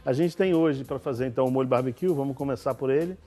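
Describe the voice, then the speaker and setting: A man in his forties from Minas Gerais, a guest chef calmly explaining a recipe step by step in a TV studio kitchen. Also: medium pitch, fast talker, faint music behind. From Minas Gerais, Brazil. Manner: relaxed, explaining step by step with small restarts. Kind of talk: cooking demonstration.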